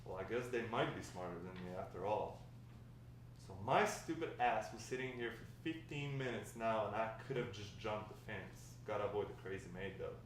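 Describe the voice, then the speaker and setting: A man's voice: fairly low pitch, expressive, normal speed, natural voice. Man playing brash grandpa in an echoey room. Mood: indignant. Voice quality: slangy, swaggering talk. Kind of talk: amateur screenplay table read. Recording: echoey room, distant mic, faint noise.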